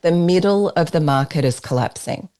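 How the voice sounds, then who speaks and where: An Australian woman leading a business workshop, giving an emphatic, persuasive pitch while presenting slides. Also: normal speed, warm tone.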